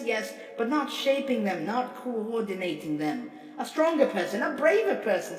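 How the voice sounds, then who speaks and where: A woman voicing a vain, grandiose robot leader testifying in court in a theatrical, pompous, oratorical dramatic reading. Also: high-pitched. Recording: echoey hall reverb, distant, music behind.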